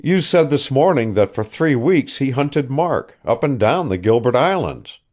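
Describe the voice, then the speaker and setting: A man reading an audiobook voices a calm young seaman who questions quietly and persistently. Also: medium pitch, a little slow, voice pushed up. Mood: quietly insistent.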